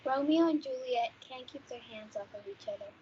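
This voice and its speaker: Mellow, slightly husky young woman narrator. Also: high voice, moderate pace. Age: teenager. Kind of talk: scripted narration. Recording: dull lo-fi audio.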